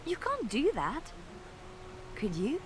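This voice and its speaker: An actress playing a flustered young cleric in a video game, defensive and embarrassed. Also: female voice, high voice, huge pitch swings, slow, over a noisy crowd, heavily acted. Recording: game audio with noticeable ambience.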